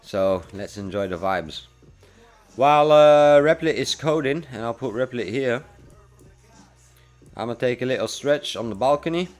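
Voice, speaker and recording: A man's voice: medium pitch, lively intonation, unhurried, long pauses. Laid-back forty-year-old man chatting over music. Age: 40s. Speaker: livestreamer and freelance web developer. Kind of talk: casual livestream chat with viewers. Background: music playing.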